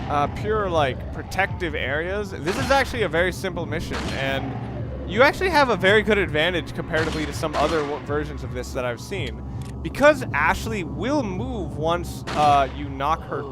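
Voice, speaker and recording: Higher-pitched man rambling through game commentary. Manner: halting, rambling, often rephrasing himself. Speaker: video game let's player. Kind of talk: casual gameplay commentary.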